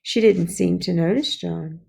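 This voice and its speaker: A woman's voice: on the low side, unhurried, gravelly, dark tone, slightly rough. A woman reading a children's storybook aloud as scripted audiobook narration. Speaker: storybook reader.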